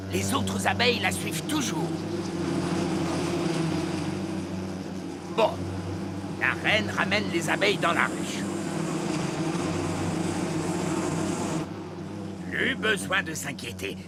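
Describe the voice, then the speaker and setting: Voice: medium pitch, gravelly, full character voice. An actor playing a wise, fussy old elf who explains things pompously in a French cartoon dub. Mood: explaining.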